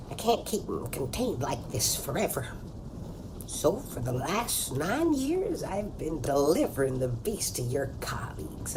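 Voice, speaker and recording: A woman's voice: deep voice, background noise. A woman playing a determined old woman, telling things dramatically in a comedy audio drama. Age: older. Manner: dramatic storytelling, deliberate. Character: theatrical, determined old woman who hunts a monster. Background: music.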